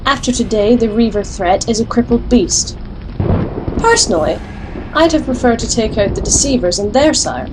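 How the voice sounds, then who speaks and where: Woman acting a high-voiced, sardonic alchemist rebel. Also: lively intonation, moderate pace, background noise, close to an everyday voice. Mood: wry, resolute. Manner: wry, assertive. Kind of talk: first-person narration and audio drama acting.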